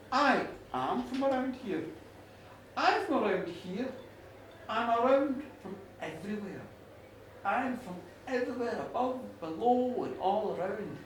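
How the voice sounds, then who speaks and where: A woman voicing an ancient, powerful warrior goddess in a told legend, measured and assured. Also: fairly high pitch, big pitch swings, pitched below the performer's own voice. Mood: calm, mysterious. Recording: echoey room, distant mic, faint noise.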